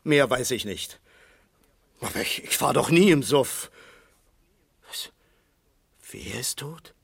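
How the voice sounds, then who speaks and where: An actor playing a shaken suspect who haltingly denies his guilt in a 1970s German radio crime drama. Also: medium pitch, lively intonation, gravelly, a bit bright, breathy edge, no room sound, exaggerated voice. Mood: desperate denial. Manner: halting, bewildered, repeating himself. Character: shaken, remorseful male drunk-driving suspect denying his guilt.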